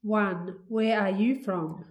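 A woman slowly reading English listening-test items with careful, clear enunciation. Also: medium pitch, steady tone.

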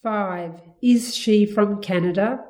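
A woman slowly reading English listening-test items with careful, clear enunciation. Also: mid-range voice, darkish tone.

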